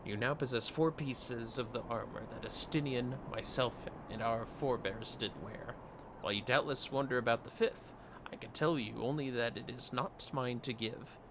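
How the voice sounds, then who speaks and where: A man's voice: mid-range voice, normal speed, noisy background, lightly acted. Man voicing a solemn aging knight mentor. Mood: solemn, formal. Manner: grave, formal, archaic phrasing. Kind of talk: reading fantasy game dialogue aloud.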